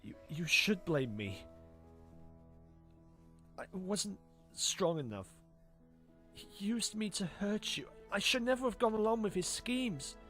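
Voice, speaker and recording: Actor as halting, remorseful young male. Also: medium pitch, animated, fairly bright tone, faint music behind. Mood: anguished, guilty. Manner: halting, stammering, anguished. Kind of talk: animated fan audio drama acting.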